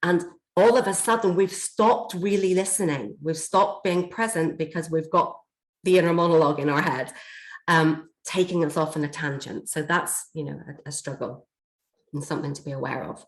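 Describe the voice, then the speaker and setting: A woman with a slightly hoarse, somewhat low voice leads an online workshop. She explains things steadily, with frequent ums. The sound comes through a video call from an echoey room. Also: moderate pace. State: slightly hoarse, coughing from a chest infection. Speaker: learning and development trainer. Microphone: computer mic on a video call.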